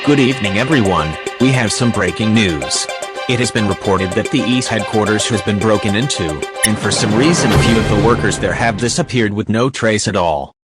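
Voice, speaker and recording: A man's voice: lowish voice, brisk, over loud music, close to an everyday voice. A man playing a formal breaking-news anchor, reading scripted news. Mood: serious, formal.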